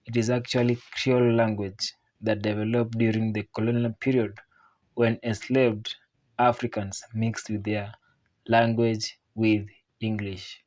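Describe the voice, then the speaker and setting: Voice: mid-range voice, flat delivery, measured pace, darkish tone, rough recording. A narrator reading a scripted explanatory commentary.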